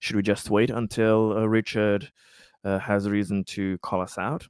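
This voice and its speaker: Smooth, low-voiced man speaking hesitantly. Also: flat delivery, darkish tone, no room sound, studio quality. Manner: hesitant, measured, formal wording. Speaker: tabletop roleplaying player. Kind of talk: in-character tabletop roleplay talk.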